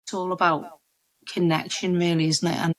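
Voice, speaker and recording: A woman's voice: fairly low pitch, little pitch movement, normal speed, rough recording. A woman with a Scouse accent hosting a podcast interview, chatty and encouraging, often restarting her sentences. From Liverpool area. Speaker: podcast host.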